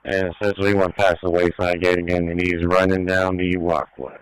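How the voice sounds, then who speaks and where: A man's voice: low voice, little pitch movement, a little fast, smooth, no room sound. A man working as a train operator, reporting informally from the field over a two-way radio. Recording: noisy two-way radio transmission.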